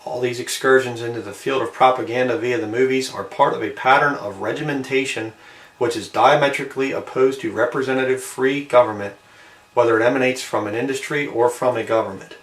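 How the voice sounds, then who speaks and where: A man's voice: mid-range voice, a bit bright, recorded from a distance. A man hosting a homemade video, reading aloud from a printed page and adding musing, informal commentary. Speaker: video host reading and commenting on an old newspaper. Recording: roomy, set back from the mic.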